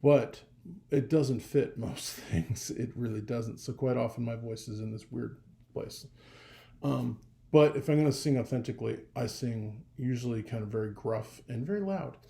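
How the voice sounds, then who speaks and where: Gravelly young man, low dark voice. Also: moderate pace. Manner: rambling, animated storytelling with frequent fillers. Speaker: vlogger. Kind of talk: casual personal vlog testimony.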